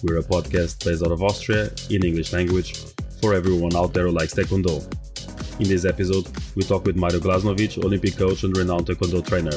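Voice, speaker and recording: A man's voice: sits low, level tone, fast, over music. A man hosting a podcast, welcoming and interviewing a guest over a video call. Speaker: podcast host. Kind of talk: casual podcast chat.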